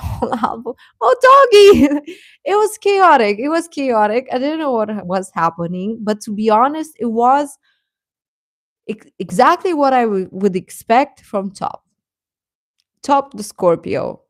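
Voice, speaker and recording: A woman hosting a casual reaction chat, talking in an animated, giggly, rambling way and often repeating phrases for emphasis. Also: sits high, unhurried, no room sound, studio quality. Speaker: livestream host chatting with viewers.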